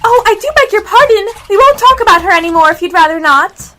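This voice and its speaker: A woman's voice: very high-pitched, expressive, fast talker, bright, forceful tone, a little hiss or hum, full character voice. A female performer playing a curious, polite, chattering young girl in an amateur radio play, earnestly talking to herself. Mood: apologetic.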